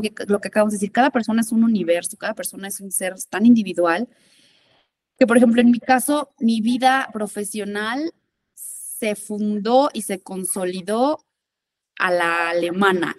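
A woman's voice: mid-range voice, a little mellow. A woman speaking as a guest expert in a conversational interview, emphatic and often repeating words as she searches for them.

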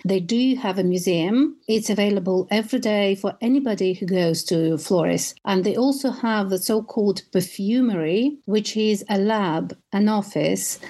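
Russian-accented woman, mellow and enthusiastic. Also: medium pitch. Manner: enthusiastic storytelling with occasional restarts. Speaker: guest, perfume tour guide. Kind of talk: conversational interview.

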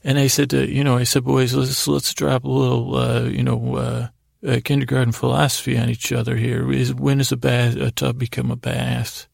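A man with a gravelly, creaky voice hosting a podcast, rambling through an improvised bedtime monologue in a halting, stammering way that often interrupts itself. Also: medium pitch, level tone, no room sound, clean studio sound. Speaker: podcast host.

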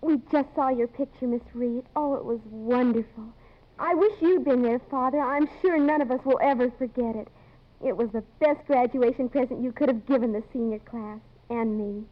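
Woman playing a sweet earnest college girl, high voice, tender, muffled 50s radio drama. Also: moderate pace, close to an everyday voice. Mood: grateful. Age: young adult. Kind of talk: 1950s radio acting. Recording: muffled old 1950s radio broadcast.

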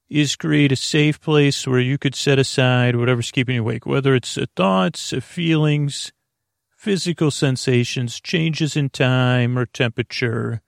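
A man's voice: mid-range voice, no room sound, studio quality. A man hosting a sleep podcast, rambling in a hesitant, meandering way with lots of fillers and restarts. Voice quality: creaky. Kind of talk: rambling bedtime monologue.

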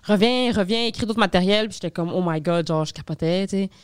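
A woman's voice: medium pitch, quick pace, smooth, dry recording, studio quality. Québécois woman telling comedy stories. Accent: Québécois French. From Montreal, Quebec. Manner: lively storytelling, swearing, many fillers. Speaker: stand-up comedian and podcast guest. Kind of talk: casual podcast conversation.

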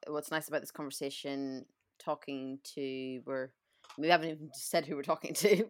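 A woman from East Africa, co-hosting a podcast, chatty and quick to laugh. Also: rumbling low. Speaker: co-host. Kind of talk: podcast talk.

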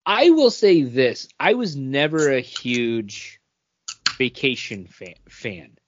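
A man's voice: mid-range voice, big pitch swings, slow pace, lo-fi sound, slight background noise. A man co-hosting a podcast, chatting casually and bluntly giving his opinions. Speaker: podcast co-host. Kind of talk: casual comics and film chat.

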